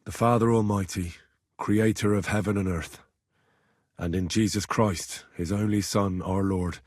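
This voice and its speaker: A man's voice: lowish voice, steady tone, measured pace, a little mellow, dry recording, studio quality. A man voicing a stubborn, cheeky young medieval man in a video game, with blunt, earthy, conversational delivery. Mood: hushed, prayerful. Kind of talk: video game character dialogue.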